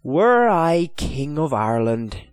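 A man's voice: fairly high pitch, normal speed, no room sound, voice pushed up, natural voice. A man reading aloud voices a proud, dreamy young noble boy. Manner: earnest, dreamy.

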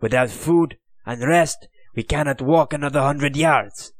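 A man's voice: on the high side, unhurried, dry recording, voice pushed up. A man reading an audiobook, voicing a proud, desperate Spanish colonel held prisoner, with defiant, anguished pleading.

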